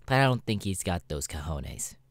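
Up close and dry, a man plays a sassy, cynical demon showman in an ASMR roleplay. His pitch swings widely as he drawls through casual, irreverent chat with hesitant pauses. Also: very animated, no echo. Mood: defiant, smug. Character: sassy, cynical male demon adult performer.

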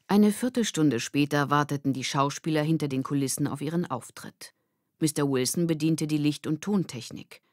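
Gleichmäßig tiefe Erzählerinnenstimme, trocken aufgenommen. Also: female voice, deep voice, darkish tone, no room sound, studio quality. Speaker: audiobook narrator. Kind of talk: scripted children's story narration.

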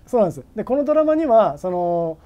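A man's voice: high voice, sing-song, quick pace, lo-fi sound, slight background noise. A man in his forties explaining things politely and somewhat hesitantly as a journalist guest in a video-call interview.

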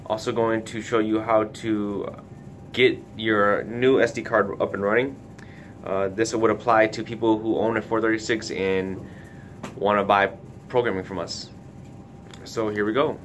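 A man's voice: level tone, a little slow. A man hosting a casual hands-on product tutorial, giving careful step-by-step instructions. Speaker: tutorial host for a retailer. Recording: noticeable background noise with low rumble.

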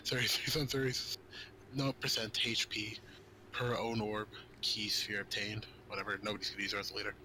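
A man with a low voice talks casually as a gaming co-host. He reads out stats and translations in an informal way. There is clear noise behind him. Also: flat delivery, a little fast, sharp tone, poor audio. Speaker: co-host reading game card translations. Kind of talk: casual gaming news chat, reading out stats.

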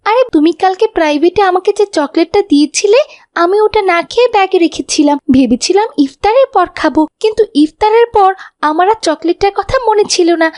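A woman voicing a spirited, excitable young schoolgirl in a children's cartoon, chatty and expressive. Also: extremely high pitch, a little fast. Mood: annoyed. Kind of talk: animated children's cartoon dialogue.

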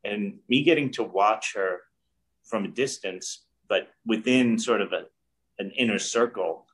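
A middle-aged man speaks in a lowish, unhurried voice, reflecting earnestly and often restarting his sentences. He is talking from a room at home over a webcam call. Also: fairly bright tone, low-quality recording. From New York. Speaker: guest director. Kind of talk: casual interview. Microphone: video call webcam.

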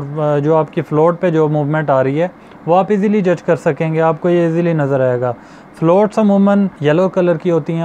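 Mellow-voiced young man teaching fishing basics. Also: on the high side, slight background noise. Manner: explanatory, repeats points, addresses viewers as friends. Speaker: fishing channel host. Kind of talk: instructional talk to camera. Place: small indoor studio with starry backdrop. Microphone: lapel mic.

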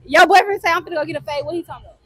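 A young woman from Texas with a very high, bright voice runs a casual street interview. She sounds upbeat and repeats her little phrases quickly. It is recorded on a handheld phone. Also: no room sound. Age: young adult. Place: outdoors in a busy city square and inside a store. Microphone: handheld phone mic.

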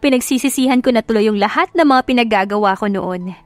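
Lady doing a spirited rich girl in an audio love story, brash but softening, bits of english, music behind. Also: a little high, fast talker, dry recording, lightly acted. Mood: grateful, sincere. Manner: mixes English into her speech.

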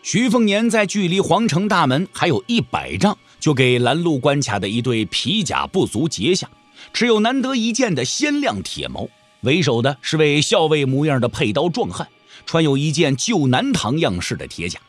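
A man's voice: a little high, big pitch swings, normal speed, dry recording, soft background music. A man narrating a martial-arts audio drama as a dramatic scripted storyteller.

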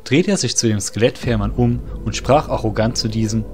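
A man's voice: medium pitch, brisk. A man narrating a fantasy audio drama in a scripted storytelling style. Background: faint music.

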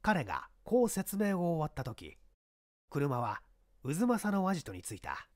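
A man's voice: fairly high pitch, wildly swooping pitch, a little slow. A man reading a detective story aloud as a scripted literary narration. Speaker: audiobook narrator.

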